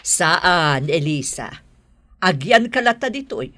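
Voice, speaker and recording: A woman playing a doting, excitable mother and grandmother in an Ilocano radio drama, with husky, exclamatory delivery. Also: fairly low pitch, slow, a little hiss or hum, heavily acted. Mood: fond. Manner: expressive, exclamatory.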